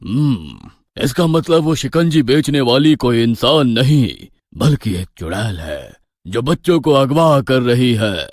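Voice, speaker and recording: A man's voice: medium pitch, no echo, clean studio sound. A man voicing a stern, protective village holy man with a grave, resolute and commanding manner in a horror story. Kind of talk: animated horror story voice acting.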